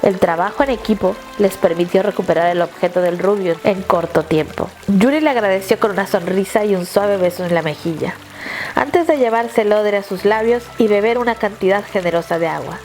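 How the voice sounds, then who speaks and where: A woman narrator reading a scripted dramatic story in a measured, literary storytelling manner. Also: medium pitch, darkish tone, soft background music.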